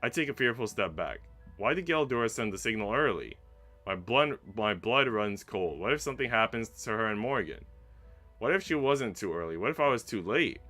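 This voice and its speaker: A man reading a visual novel aloud as a streamer, in an expressive, dramatic narration that drops hushed and rises with emphasis. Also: lowish voice, brisk. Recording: faint game music behind. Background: game music.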